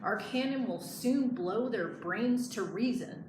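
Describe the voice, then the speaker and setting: A woman's voice: fairly high pitch, takes it slowly. A woman voicing a stern male army captain in a storybook, reporting in a matter-of-fact military manner. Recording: echoey room, clear background noise.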